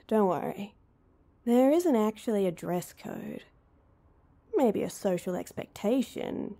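A woman's voice: mid-range voice, vocal fry, mellow. A woman playing an awkward, heartbroken young woman in an intimate roleplay audio drama, speaking hesitantly and with self-deprecation. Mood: tentative, hopeful.

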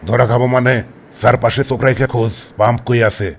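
Man acting a fast, bossy cartoon gang boss. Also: mid-range voice, little pitch movement, creaky. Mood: impatient, commanding. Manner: scolding, commanding, impatient. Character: bossy, short-tempered male kidnapper gang boss.

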